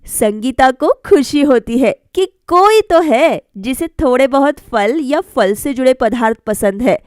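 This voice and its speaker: A woman's voice: sits high, lively intonation, a little slow, dry recording, studio quality. A woman narrating a scripted children's story as a voice-over storyteller. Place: close, dry voice-over recording.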